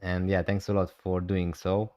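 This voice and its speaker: Relaxed man streaming live. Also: low voice, normal speed, no room sound, clean studio sound. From Romania. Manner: relaxed, hesitant, many fillers. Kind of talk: casual live stream chat.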